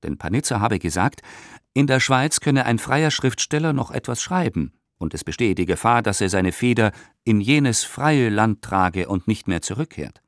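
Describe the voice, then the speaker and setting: A man with a fairly low voice reads old documents theatrically and with measure. His intonation moves widely up and down, in a close, very dry studio sound. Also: expressive, moderate pace, dry recording. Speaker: radio play reader. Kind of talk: scripted dramatic reading of historical letters and documents. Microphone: close studio microphone.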